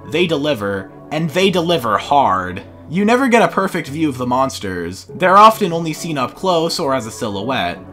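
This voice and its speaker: Higher-pitched, animated male video essayist. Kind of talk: scripted video essay narration.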